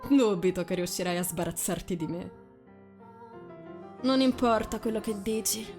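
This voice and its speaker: A woman's voice: mid-range voice, moderate pace, darkish tone, soft background music, natural voice. A woman voicing a condescending young aristocratic fiancé, patronising and controlled, in a visual novel reading. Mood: smug, scolding.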